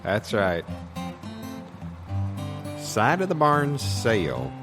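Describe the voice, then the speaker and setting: A man's voice: fairly low pitch, wildly swooping pitch, very slow, full character voice. A man playing a folksy, boastful used-car dealer with repetitive hard-sell patter in an improvised radio commercial parody. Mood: hearty, boastful. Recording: guitar music behind the voice.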